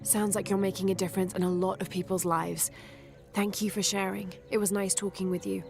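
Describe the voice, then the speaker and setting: A woman's voice: mid-range voice, little pitch movement, a little fast, vocal fry, darkish tone, natural voice. A woman playing a thoughtful, courteous young adventurer in a video game cutscene, reserved and sincere. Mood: courteous, curious. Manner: reserved, sincere, brief answers. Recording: music under the voice.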